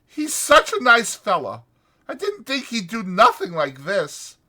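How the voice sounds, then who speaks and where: A man voicing an anxious, grieving old ranch hand in an audiobook, with folksy rural speech and a whining, drawn-out delivery. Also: very high voice, huge pitch swings, bright, forceful tone, pitched above the performer's own voice, full character voice. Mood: sulky. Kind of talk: dramatized audiobook character voice.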